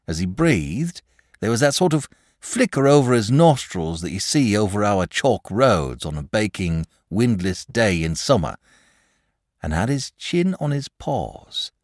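A man's voice: on the low side, very animated, unhurried, darkish tone, dry recording, clean studio sound, pitched above the performer's own voice. A man voicing a nervous, superstitious country shepherd and father from a storybook, rambling on emphatically in rural dialect. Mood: awed, nervous. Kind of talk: storybook character voice.

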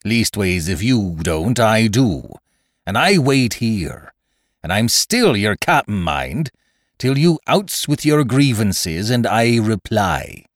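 A man acts out a sly, menacing pirate sea cook from an adventure storybook. His rustic sailor talk swings dramatically in pitch and takes its time, full of contempt and command. The sound is close and dry. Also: very animated, slow, dry recording. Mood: defiant, cool. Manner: rustic old-time sailor speech with theatrical emphasis. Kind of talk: dramatised audiobook reading of a classic adventure story.

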